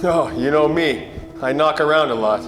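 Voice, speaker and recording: A man playing a wisecracking, world-weary 1940s private detective, narrating a radio noir parody in deadpan hard-boiled style. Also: a little high, moderate pace, long reverb tail, over music, natural voice. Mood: sardonic.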